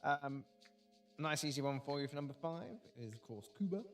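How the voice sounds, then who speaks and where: A man hosting a casual live quiz, dry and wry, often pausing with ums. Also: on the high side, expressive, faint music behind. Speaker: quiz host.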